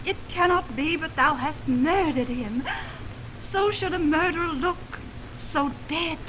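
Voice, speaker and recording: A noisy 1929 radio recording carries a high female voice. a fierce young heroine in love, measured and theatrical, delivering Shakespearean verse in the grand radio style of the twenties. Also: fairly bright tone. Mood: angry accusing. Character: Hermia, distraught young woman in love, fiercely accusing. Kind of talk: 1920s radio Shakespeare acting. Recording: noisy 1929 radio aircheck from optical film.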